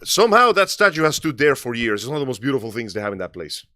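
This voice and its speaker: A man's voice: mid-range voice, big pitch swings, rapid-fire, bright, forceful tone, no echo, studio quality. A man from Cuba chatting casually and at rambling length as co-host of a show.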